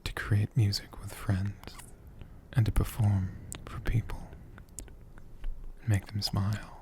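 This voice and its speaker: A man speaking as an ASMR host in a soft, gentle, hushed whisper, taking his time. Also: on the low side, flat delivery, slow pace.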